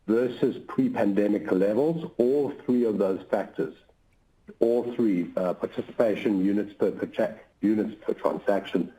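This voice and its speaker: A man speaking as a chief financial officer on an earnings call, measured and methodical, laying out points one by one. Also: low voice, mellow, poor audio. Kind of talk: corporate earnings call explanation.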